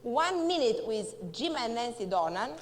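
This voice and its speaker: Brief: Romanian woman, accented English, halting speech full of fillers, fairly high voice, long hall reverb. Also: sing-song. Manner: halting, many fillers and restarts, pausing for translation. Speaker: guest speaker at a business seminar. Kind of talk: speech delivered through a live interpreter. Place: large hall.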